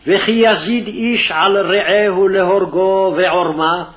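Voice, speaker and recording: A man's voice: high voice, fairly even intonation, racing. A man formally reciting scripture phrase by phrase, on a dull, lo-fi archival recording. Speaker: scripture reader. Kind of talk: scripted Hebrew Bible reading.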